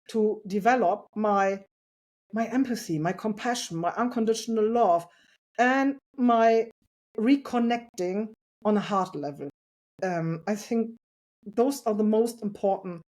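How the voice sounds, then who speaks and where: A woman talking as a podcast guest about parenting, earnest and reflective, with a loud voice and light laughs. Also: medium pitch. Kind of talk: casual interview conversation.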